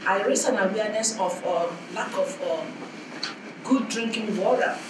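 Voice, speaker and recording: A woman's voice: medium pitch, moderate pace. A woman who teaches in a public school, introducing herself at a conference with many fillers. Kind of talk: spontaneous self-introduction at a conference. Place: conference hall. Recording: echoey hall, distant mic, noisy room.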